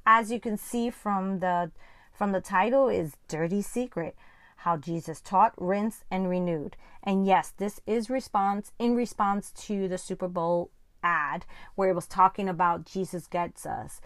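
A woman's voice: mid-range voice, unhurried, dry recording, studio quality. A woman with a Spanish accent, a native Spanish speaker, hosts a casual Bible-teaching podcast in a conversational, earnest and emphatic way.

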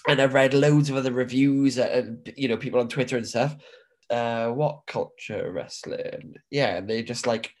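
A man's voice: mid-range voice, normal speed. A middle-aged man from the UK with a stuffy nose from hay fever, co-hosting a podcast in casual, chatty talk that is peppered with swearing. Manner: chatty, swears casually, says "bro" and "mate". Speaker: podcast co-host. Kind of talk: casual chat.

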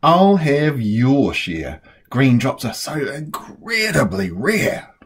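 A woman's voice: extremely low pitch, sing-song, slow, slightly rough, pitched below the performer's own voice, full character voice. A woman voicing a clever, patient young boy, an older brother in a storybook, playfully persuasive. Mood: persuasive, sly. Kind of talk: storybook character voice.

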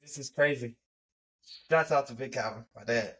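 A man talks in a loose, laid-back ramble on a casual podcast. His voice sits high for a man, and his speech drifts easily from one point to the next. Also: normal speed, fairly bright tone. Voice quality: high-pitched voice. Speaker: podcast co-host.

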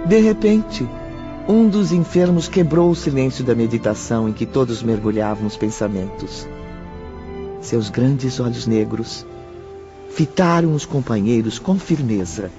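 A Brazilian actor as the solemn, grave narrator of a radio drama. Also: male voice, mid-range voice, a little slow, lightly acted. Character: solemn omniscient male storyteller. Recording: muffled radio drama under prominent music.

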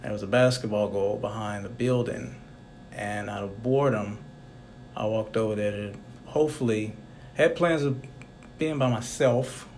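A man's voice: on the low side, normal speed, slight background noise. A young man hosting a casual interview, talking in a rambling, reflective way. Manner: rambling, reflective, soft-spoken.

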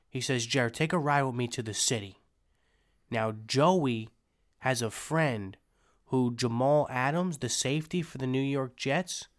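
A young man co-hosting a casual podcast, telling a rambling story full of swearing and "you know what I mean". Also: medium pitch. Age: young adult. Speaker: podcast co-host. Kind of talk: casual chat.

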